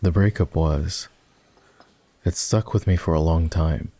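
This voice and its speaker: A man playing an ex-boyfriend turned boss in an intimate roleplay ASMR, talking in a halting, conversational way. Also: extremely low pitch, warm tone, no echo. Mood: vulnerable, regretful. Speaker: voice actor.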